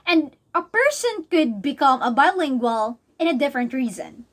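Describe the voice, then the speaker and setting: A young Filipino woman whose first language is Bisaya, answering a pre-test question in English in a halting way, often restarting words and reading from notes. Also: high voice, expressive. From Philippines. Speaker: student. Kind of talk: recorded pre-test answer, half read.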